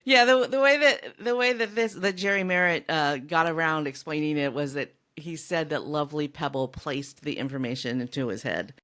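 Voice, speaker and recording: A woman with a somewhat low-pitched voice co-hosts a book podcast. She speaks conversationally, in the casual style of an informal discussion. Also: moderate pace, few pauses, fairly bright tone. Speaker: podcast co-host.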